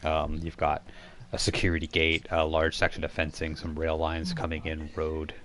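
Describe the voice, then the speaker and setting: Male game master, low register, improvised informal narration, halting explanatory delivery. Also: monotone, fairly bright tone, slight background noise. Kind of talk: improvised narration of a tabletop role-playing game.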